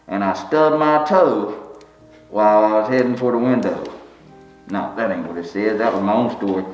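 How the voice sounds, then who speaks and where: A man playing a folksy, bald country fellow who reads a holiday poem aloud, tripping over words and cracking jokes between verses. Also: mid-range voice. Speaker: storyteller reading aloud. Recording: roomy, slightly dull recording.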